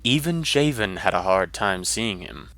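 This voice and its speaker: A man's voice: fairly low pitch, lively intonation, unhurried, a crowd in the background. A man narrating a scripted fantasy story in a dramatic style.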